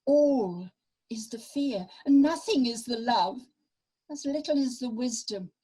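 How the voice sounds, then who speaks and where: Woman playing slow, husky, bitter noblewoman. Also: fairly high pitch, lively intonation, dark tone. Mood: bitter, distressed. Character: bitter abandoned noblewoman, anxious mother. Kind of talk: amateur Shakespearean radio play.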